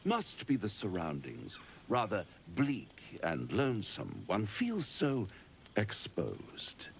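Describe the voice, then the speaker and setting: A low-voiced, gravelly gentleman devil, suave and pompous. His slow, florid game-villain delivery swoops dramatically, dripping with sinister courtesy. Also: wildly swooping pitch, a little hiss or hum, exaggerated voice. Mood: mock disdain. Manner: florid, drawling, theatrically pompous. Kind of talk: video game cutscene acting.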